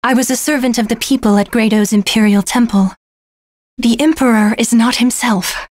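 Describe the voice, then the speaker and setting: Actress as earnest young priestess; soft, high, pleading. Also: female voice, steady tone, normal speed, dry recording, close to an everyday voice. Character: gentle young female temple cleric, earnest. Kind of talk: game voice clips.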